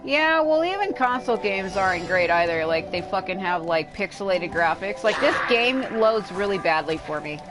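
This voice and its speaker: A woman's voice: mid-range voice, lively intonation, normal speed, few pauses, music in the background. A woman livestreaming a video game with casual, rambling commentary, blunt and complaining, swearing freely.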